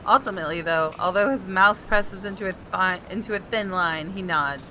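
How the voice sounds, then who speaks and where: A woman gaming host reading visual novel narration aloud in an expressive storytelling style. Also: medium pitch, moderate pace, slight background noise. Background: background music.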